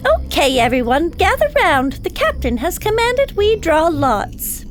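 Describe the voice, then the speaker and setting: An actress playing a long-suffering alien ship's officer in a comedic audio drama, dry, sarcastic and wearily officious. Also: female voice, very high-pitched, big pitch swings, a little slow, soft background music, exaggerated voice. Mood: dutiful, dry.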